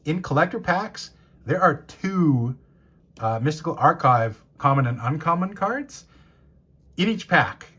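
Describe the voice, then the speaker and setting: Shop-owner host, 30s, male, hoarse and husky after a cold, lively pitch, excited casual unboxing patter. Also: unhurried. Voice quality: raspy. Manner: enthusiastic, reads card names and rules aloud. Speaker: game store owner. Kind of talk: casual box-opening commentary.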